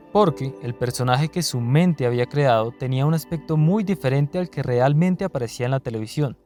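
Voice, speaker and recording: A man with a Colombian accent narrates a documentary from a script. His voice sits at a middle pitch, with faint music underneath. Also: dark tone.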